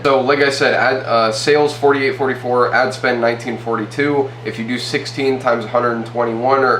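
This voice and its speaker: A man's voice: medium pitch, fairly bright tone. Stuffed-up young man in an echoey room. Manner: fast, loose, filler-heavy talk. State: stuffy nose. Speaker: e-commerce YouTuber. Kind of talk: casual to-camera update. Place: small room indoors. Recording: roomy with faint hum.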